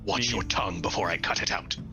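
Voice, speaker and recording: A man's voice: low voice, quick pace, music in the background, voice pushed down, natural voice. A man voicing a hostile clawed guard commander in a tabletop game, growling and threatening. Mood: menacing.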